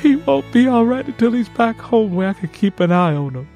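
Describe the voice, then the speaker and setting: A man's voice: very high-pitched, sing-song, fairly quick, darkish tone, faint music behind, pitched above the performer's own voice, heavily acted. Fiercely protective, distraught mother acted by a man, raised voice, emotional, insistent, dramatized storybook. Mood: upset, angry. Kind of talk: dramatized audiobook dialogue.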